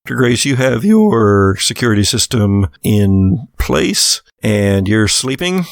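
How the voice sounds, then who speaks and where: A man with a lowish, gravelly voice narrates a tabletop horror game. He describes scenes to his players in a conversational, improvised way and teases them a little. The sound is dry, with little room in it. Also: sing-song, takes it slowly, dry recording. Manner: teasing, improvised second-person narration. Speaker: game master.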